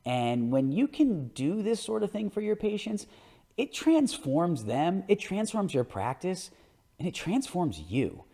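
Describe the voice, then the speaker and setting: A man's voice: high voice, big pitch swings, unhurried, dark tone. A man speaking as an orthodontist coach, giving an explanatory clinical lecture in an emphatic, informal, opinionated way.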